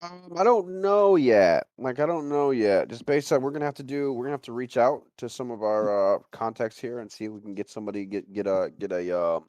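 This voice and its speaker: A man's voice: medium pitch, brisk, hardly any pauses, a little mellow, dry recording. A man hosting a casual podcast, talking in a rambling way and circling back over his phrases. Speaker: podcast host.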